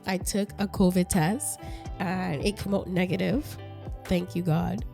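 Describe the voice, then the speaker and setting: A middle-aged woman hosts a casual podcast chat, her voice hoarse and raspy from a cold with a stuffy nose. Her tone is warm and mellow, and she rambles along, laughing often. Soft music plays faintly in the background. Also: on the low side, fairly even intonation, unhurried. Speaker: podcast host.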